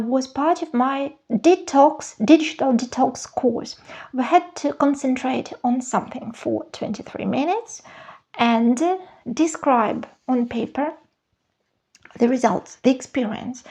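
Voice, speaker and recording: A woman speaks in a high voice with a gravelly edge. She talks unhurriedly in a casual vlog, choosing each word with care. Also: darkish tone. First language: Russian. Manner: slow, careful, searching for words. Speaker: vlogger, former university teacher. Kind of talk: casual show-and-tell vlog.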